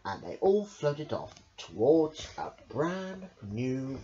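A woman's voice: sits low, takes it slowly, slight background noise. A woman reading a children's picture book aloud, expressively, as a storyteller. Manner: expressive, with big swoops into character voices. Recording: dull lo-fi home recording.

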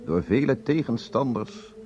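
A man's voice: medium pitch, lively intonation, unhurried, a little mellow, dull audio, lightly acted. A man acting in a radio play as an obsessive, aggrieved broadcaster and estranged husband, speaking with insistent, indignant emphasis. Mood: solemn, deliberate. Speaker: actor.

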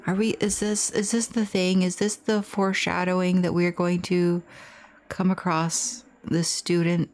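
A woman's voice: on the low side, level tone, a little slow, darkish tone, slight background noise. A woman playing through a horror game, reading text aloud and commenting on it.

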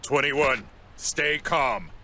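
An actor voicing a stoic, gentle giant android in an anime game, measured and formal. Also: male voice, mid-range voice, exaggerated voice. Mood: concerned, firm. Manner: measured, formal, matter-of-fact. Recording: loud music under the voice.

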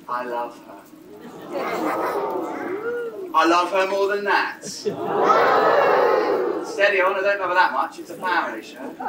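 A performer playing Buttons's part, a cheeky, excitable young male pantomime sidekick, bantering playfully with a live audience in an exuberant style. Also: mid-range voice, recorded from a distance. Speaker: pantomime comic working the audience. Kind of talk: pantomime audience banter, shouted call and response. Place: on stage in a theatre. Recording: roomy theatre from afar, noisy audience. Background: live audience with children.

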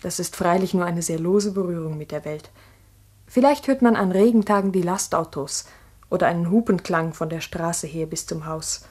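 An actress playing an earnest, strict village schoolteacher in a 1960s radio drama, with a measured, precise, slightly husky voice. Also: female voice, on the low side, darkish tone. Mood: reflective. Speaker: actor and first-person narrator. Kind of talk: 1960s German radio drama acting and narration.

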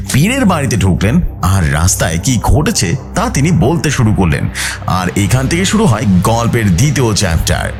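A man tells scary stories as a channel host. His voice sits fairly low but swings dramatically up and down, stretching key words for suspense, with music playing behind him. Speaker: host of a horror story channel. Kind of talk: dramatic scripted horror storytelling.

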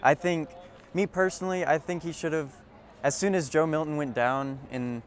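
A man's voice: fairly high pitch, moderate pace, slight background noise. A young man giving postgame analysis outdoors in a stadium at night on a lapel mic, thinking aloud with frequent restarts and ums. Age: young adult. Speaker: co-host and sports editor. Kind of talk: postgame sports analysis chat.

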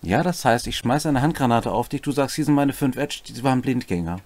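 Animated man with varied pitch. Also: fairly quick. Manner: chatty, jokey asides. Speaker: co-host explaining tabletop game rules. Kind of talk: reading rules with casual commentary. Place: small home room, indoors.

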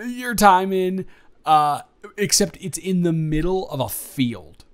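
A man playing an excitable, incredulous young influencer friend in an improvised audio roleplay, rambling emphatically to one listener. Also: fairly high pitch. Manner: rambling, emphatic, halting, with dropped asides.

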